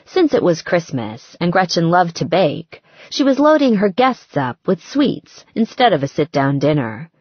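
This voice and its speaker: A woman reading a novel aloud as an audiobook narrator, expressive and scripted. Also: on the low side, no echo, muffled recording.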